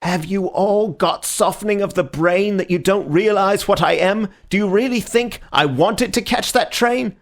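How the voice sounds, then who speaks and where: A man's voice: high voice, very animated, moderate pace, vocal fry, voice pushed up, heavily acted. A man voicing an exasperated, flamboyant nobleman who pleads and rants in breathless bursts. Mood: furious ranting. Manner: pleading, then roaring, breathless tirades.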